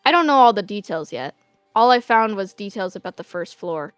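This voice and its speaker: A woman in an amateur anime fan dub playing an aloof, composed teenage girl who speaks in a measured, curt way. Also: medium pitch, animated, clear, resonant tone, dry recording, faint music behind, lightly acted. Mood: cool, detached. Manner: measured, curt, matter-of-fact.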